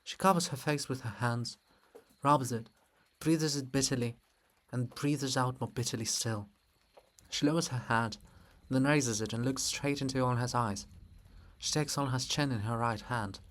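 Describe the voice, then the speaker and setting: A man narrating a play with measured, theatrical delivery and intimate asides to the listener. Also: mid-range voice. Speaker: narrator of a dramatic play reading.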